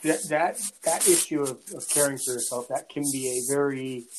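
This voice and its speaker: A man from North America hosting a podcast interview, speaking in a halting way and often repeating words before finishing a thought. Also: medium pitch, fairly even intonation, noisy background. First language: English; French is his second language. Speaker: podcast host. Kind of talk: conversational interview.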